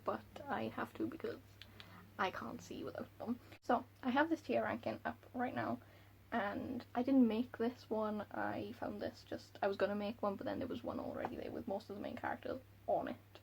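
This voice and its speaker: Giggly Welsh woman with gravelly voice. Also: fairly high pitch. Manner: giggly, chatty, self-deprecating. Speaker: book vlogger. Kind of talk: casual chat to camera. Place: room at home by a window.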